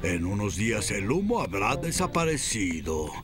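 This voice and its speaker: An actor with a Latin American Spanish accent playing a boastful, grumpy old crab in a cartoon dub, rambling theatrically and dismissively. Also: male voice, fairly low pitch, sing-song, normal speed, over music.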